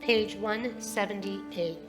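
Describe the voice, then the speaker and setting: A woman's voice: medium pitch, slow pace, a bit bright, faint music behind, rough recording. A middle-aged woman leading a service in a synagogue sanctuary, heartfelt and affectionate as she gives a blessing and a sermon.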